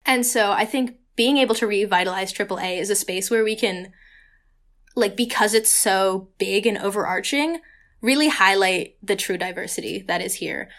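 A young college woman talks through her thoughts in an easygoing, filler-heavy way, her pitch sitting right in the middle of the range. She co-hosts a student podcast and speaks as if chatting with a friend. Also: moderate pace, a bit bright. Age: young adult. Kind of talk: casual conversation.

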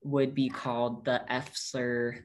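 A man's voice: medium pitch, level tone, slow pace. A young man whose first language is Tagalog, answering interview questions as an activist in an earnest, reflective way and often correcting himself mid-sentence.

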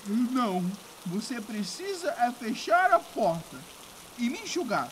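A man's voice: very high-pitched, very animated, unhurried, slight background noise, heavily acted. A man with a Brazilian accent playing a pompous, complaining, dramatic nobleman in a period audio drama, with rain behind him. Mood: fussy, outraged. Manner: theatrical, complaining, haughty. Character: pompous, whiny, dramatic young nobleman cousin.

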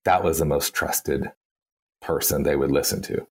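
A man's voice: rumbling low, steady tone, moderate pace, vocal fry, breathy edge. A man with a Texan accent, a guest in an interview, talking casually and thinking aloud with lots of fillers. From Texas. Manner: chatty, thinks aloud with many "like" and "you know". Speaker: interview guest, campaign strategist.

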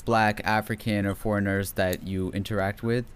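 A man's voice: on the low side, little pitch movement, normal speed, clear, resonant tone. A man hosting a casual interview, curious and reflective as he asks questions. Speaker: host.

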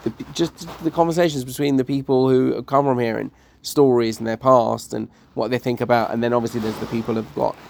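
Guy rambling on a podcast, gravelly voice, keeps restarting his sentences. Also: medium pitch, fairly even intonation, a little fast, few pauses, a little mellow. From Northwest London. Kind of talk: casual chat.

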